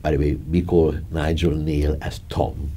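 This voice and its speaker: An older man with a Hungarian accent, seated in a dark studio, reminiscing with laughter and halting phrases as an interviewed director. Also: rumbling low, level tone, slightly rough. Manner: chatty, laughing, gesturing, halting. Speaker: film director.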